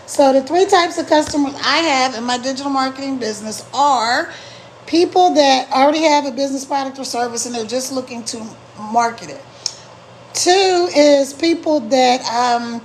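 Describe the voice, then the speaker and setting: A middle-aged woman, a little hoarse, chatting casually on a live stream as an online marketing coach, emphatic and repeating her key points. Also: high-pitched, a little hiss or hum, low-quality recording.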